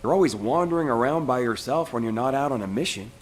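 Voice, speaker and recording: An actor playing a brash, teasing young squadmate in a video game, talkative and cheeky. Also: male voice, medium pitch, fast, a little hiss or hum, natural voice. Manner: cheeky, animated. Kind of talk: video game cutscene acting.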